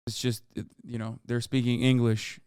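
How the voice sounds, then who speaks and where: Male streamer, Turkish first language, profane sarcastic commentator, crisp dry close-mic sound. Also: mid-range voice, flat delivery, a little mellow, no room sound. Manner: profane, sarcastic, rapid rants. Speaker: streamer host. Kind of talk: casual live-stream news commentary.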